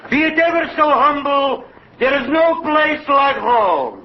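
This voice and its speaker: An actor playing a zany, excitable Russian oddball in 1940s radio comedy, with a Russian accent and emphatic, mangled, theatrical phrasing. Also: male voice, very high-pitched, measured pace. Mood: zany, gleeful. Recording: muffled, noisy old radio broadcast, loud audience.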